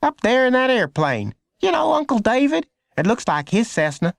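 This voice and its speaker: A man voicing an eager, excited young schoolboy in an animated way for a children's storybook. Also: very high voice, moderate pace, gravelly, no room sound, studio quality, voice pushed up, full character voice. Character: eager young boy, Lenny's school friend Carl Lee.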